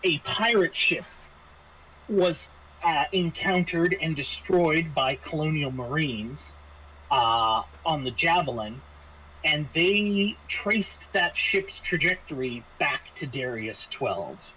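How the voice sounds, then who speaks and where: A man with a slightly high voice acts as game master, laying out a scenario for his players. He speaks slowly and haltingly, restarting phrases as he explains in a casual way. There is faint noise behind him. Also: bright, forceful tone, low-quality recording. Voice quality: halting delivery with frequent hesitations and restarts. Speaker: game master of a tabletop roleplaying session. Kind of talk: semi-improvised briefing to players, narrating a scenario.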